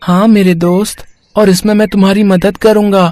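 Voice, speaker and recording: Man doing a kind boy-god in a storybook, soft encouraging voice, a touch high-pitched. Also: level tone, normal speed, background noise, lightly acted. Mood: gentle. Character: gentle boy Ganu, god Ganesh in disguise.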